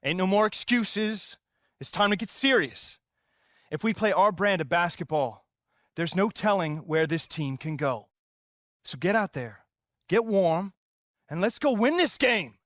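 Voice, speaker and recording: A man's voice: high-pitched, lively intonation, measured pace, a bit bright, no echo, clean studio sound. An actor playing a firm, motivating male basketball coach giving a team pep talk in a video game. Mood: rallying.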